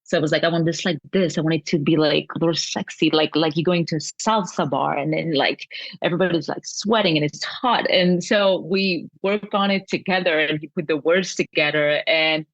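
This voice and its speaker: A woman's voice: sits low, moderate pace, no echo, poor audio. A woman, a musician guest chatting casually, searching for words and laughing easily. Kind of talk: casual interview chat.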